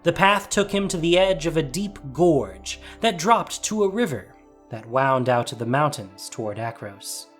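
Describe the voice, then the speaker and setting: Audio drama narrator, expressive mid-pitched delivery, light music underneath. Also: unhurried. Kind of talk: scripted dramatic story narration.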